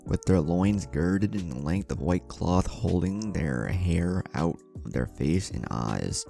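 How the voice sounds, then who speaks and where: A man's voice: low voice, gravelly, warm tone. A man reading a scripted story aloud as narrator over music. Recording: music bed under the voice.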